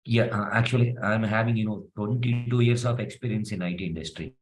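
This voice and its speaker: A man's voice: lowish voice, fairly even intonation, a little fast, poor audio. A man from India in his forties, a prospective student on a video call, speaking hesitantly with many fillers.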